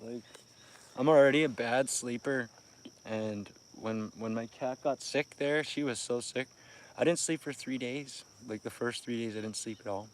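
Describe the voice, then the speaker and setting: A man's voice: medium pitch, moderate pace, a little hiss or hum, rough recording. A tired Canadian man in his thirties, chatting casually to livestream viewers by a lake at dawn and keeping his voice down, with frequent laughs. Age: 30s. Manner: chatty, laughs often, keeps voice down. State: tired from little sleep. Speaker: fishing livestreamer. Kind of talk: casual live chat to viewers. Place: outdoors by a lake near a campsite at dawn. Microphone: phone livestream.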